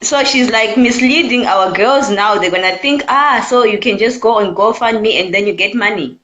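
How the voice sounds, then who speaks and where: A woman's voice: mid-range voice, moderate pace, a bit bright, roomy sound, rough recording. A woman in her twenties from Zimbabwe with a Zimbabwean accent, calling in to share her opinion in an earnest way that keeps returning to her points. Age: 20s. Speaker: caller. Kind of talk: call-in opinion chat.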